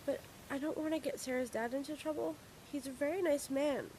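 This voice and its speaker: Young woman doing a fragile wry patient girl, quiet and hesitant, high voice. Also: a little fast, a little hiss or hum, poor audio.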